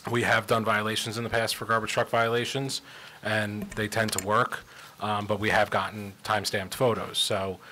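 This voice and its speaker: A male township official answers residents at a meeting in a measured, explanatory way. His voice sits low and sounds bright. Faint room noise hums behind him. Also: little pitch movement. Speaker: township administrator.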